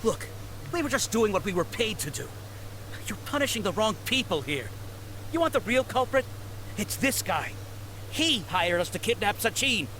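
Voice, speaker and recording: An actor playing a cowed, whiny hired thug in a video game, talking in a defensive, complaining way. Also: male voice, sits high, very animated, moderate pace, long pauses, a bit bright, poor audio. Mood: pleading. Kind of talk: English video game voice acting. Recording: clear background game noise.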